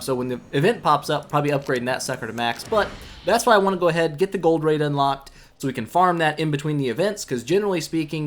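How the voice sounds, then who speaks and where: Congested man chatting over gameplay. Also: medium pitch. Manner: relaxed, rambling, many "um"s, self-mocking asides. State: congested from a cold. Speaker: gaming YouTuber. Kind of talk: casual gameplay commentary.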